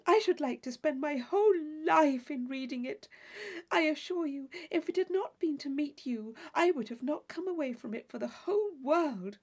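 A woman's voice: sits high, lively intonation, normal speed, darkish tone, pitched above the performer's own voice. A woman voicing a naive, eager young girl in a classic novel reading, sweet, breathless and earnest. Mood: thrilled. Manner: breathless, wide-eyed, earnest.

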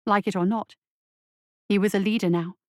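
Woman reading dark fiction, dry studio sound. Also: medium pitch, steady tone, normal speed, no echo. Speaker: story reader. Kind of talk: scripted dramatic fiction narration.

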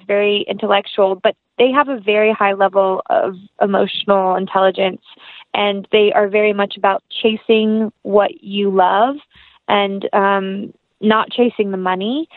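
A young woman with an American accent talks casually as an interview guest. She is earnest and effusive, rambling through her thoughts at a medium pitch. The sound is dry, with little room in it. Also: no echo, narrow, tinny audio. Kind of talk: casual interview chat, anecdotal.

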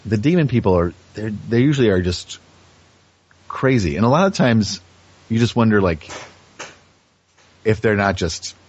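A man's voice: fairly low pitch, lively intonation, normal speed, long pauses, slightly rough. A man chatting casually on a podcast, feeling his way hesitantly through his thoughts. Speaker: podcast host as guest. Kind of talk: casual conversation. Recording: dull lo-fi audio.

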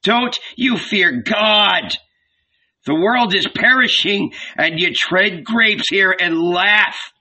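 A man's voice: sits high, lively intonation, slow pace, sharp tone, voice pushed up, heavily acted. A man voicing a fiery, bony prophet of doom in a dramatic audiobook, bellowing and fervent, drawing out his solemn warnings. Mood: indignant. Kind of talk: dramatic audiobook character reading.

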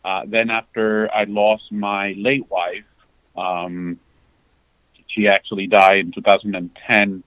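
Halting low-voiced man on a remote call. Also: flat delivery, no echo, narrow, tinny audio. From Dominican Republic. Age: 40s. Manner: halting, many fillers and restarts. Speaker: guest. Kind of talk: candid conversational interview. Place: parked outside a sports venue.

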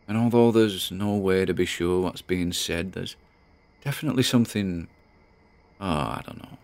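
A man with a British accent playing an obsessive, chatty amateur investigator, recording informal, wry audio logs in an audio drama. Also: deep voice. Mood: absorbed, uneasy. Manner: informal, self-interrupting, wry. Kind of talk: audio log monologue in an audio drama.

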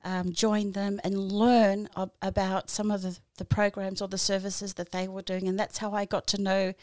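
Australian woman, 40s, podcast guest; halting, filler-heavy delivery, dry close sound. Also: medium pitch, level tone, a little mellow, no echo. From New Zealand. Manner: halting, many fillers and restarts. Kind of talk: casual interview answers.

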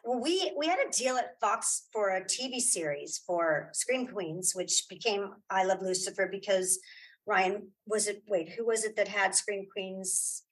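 A woman's voice: mid-range voice, unhurried, husky, low-quality recording. A woman speaking as a guest in a casual interview, chatty and informal, often restarting her sentences. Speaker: interview guest, audio drama creator.

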